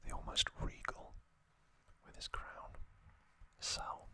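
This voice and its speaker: An ASMR host whispering in a breathy, unvoiced voice, with hushed, deliberate phrasing and long pauses. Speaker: host of an ASMR trivia channel. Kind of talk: ASMR, whispered reading and commentary.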